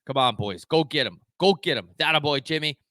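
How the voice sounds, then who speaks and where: A man playing a gruff, demanding hockey coach in a one-man film reenactment, commanding and repetitive as he drives his players. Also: fairly high pitch, lively intonation, a little fast, sharp tone, dry recording, clean studio sound. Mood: barking, urgent.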